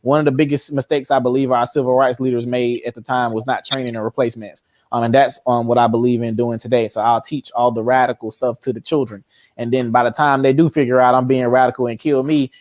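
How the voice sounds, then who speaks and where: A man in his early twenties speaking casually as a guest on a video call, relaxed, informal and quick to laugh. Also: mid-range voice, dry recording, studio quality. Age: early 20s. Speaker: guest, youth organization founder. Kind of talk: casual conversation.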